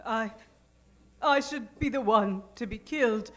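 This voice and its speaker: A woman playing a timid, self-effacing wallflower who rambles haltingly, in improvised old-time radio comedy. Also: fairly high pitch, a bit bright. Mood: meek. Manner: meek, halting.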